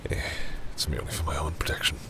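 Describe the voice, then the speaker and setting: Man playing a deep-voiced, haughty vampire. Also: flat delivery, slight background noise. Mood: calmly controlling. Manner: haughty, deliberate, often drops into hushed brooding. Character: commanding male vampire, menacing yet reluctantly caring toward humans. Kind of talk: dramatic audio roleplay addressed to the listener.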